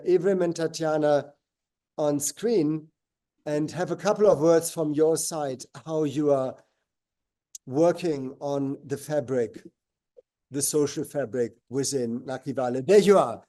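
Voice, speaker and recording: A man hosting a live video call, speaking earnestly and pausing often with fillers and restarts. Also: on the high side, warm tone. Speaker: host.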